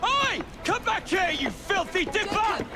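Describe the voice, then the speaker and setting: An actor playing a brash, impatient young assassin with a cheeky manner in a video game. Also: male voice, very high voice, very animated, a little slow, over loud music, exaggerated voice. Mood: angry. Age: young adult. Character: brash, impatient young male assassin, cocky twin brother. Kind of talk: video game cutscene acting.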